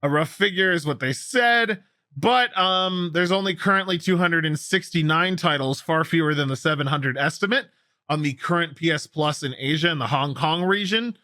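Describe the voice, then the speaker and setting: A man's voice: a little high, normal speed, bright, forceful tone, no room sound. A man hosting a gaming news show, commenting casually and enthusiastically on stories with a co-host. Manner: enthusiastic, opinionated, conversational.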